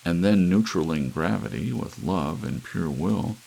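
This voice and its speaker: Very deep, gravelly male audiobook narrator. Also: animated, a little slow, poor audio. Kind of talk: scripted story narration for ASMR audiobook. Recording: faint hiss with rain sound. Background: soft rain.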